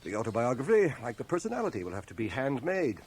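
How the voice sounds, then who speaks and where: An actor playing a dry, skeptical, shrewd middle-aged scholar in a radio drama, precise and probing. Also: male voice, medium pitch, wildly swooping pitch, quick pace, a little mellow. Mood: hard bargaining. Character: dry, skeptical, shrewd fifty-year-old male scholar. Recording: hissy 1980 radio cassette transfer.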